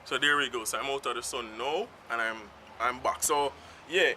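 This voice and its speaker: A man with a Jamaican accent talks loosely to his own camera outdoors, letting his thoughts ramble on without a script. His voice is very bright and middle-pitched, and faint street traffic drifts in behind him. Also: moderate pace. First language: Jamaican Patois. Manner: rambling, unscripted, free-flowing. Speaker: vlogger. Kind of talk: casual talk to camera. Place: outdoors in town, in the sun. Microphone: self-filmed camera.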